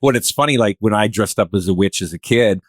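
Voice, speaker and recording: Low-voiced man hosting a casual podcast. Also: no room sound, clean studio sound. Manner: musing, halting, thinks aloud in questions. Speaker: podcast host. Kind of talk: casual conversational interviewing.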